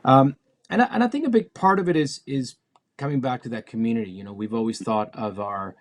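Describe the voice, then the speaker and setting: A man from Mexico City who moved to Canada as a teenager, speaking as a musician being interviewed, reflective and halting as he thinks. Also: medium pitch. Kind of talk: casual conversational interview.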